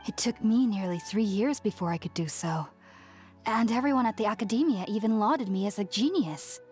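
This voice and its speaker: A woman playing a gentle, earnest young woman scholar in a video game, speaking in a polite, measured, reflective way. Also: medium pitch, expressive, natural voice. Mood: grateful, polite. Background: music.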